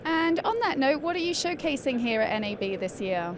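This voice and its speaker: A woman's voice: high-pitched, sing-song, brisk. A woman interviewer putting questions to a guest at a trade show. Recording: noisy trade show floor.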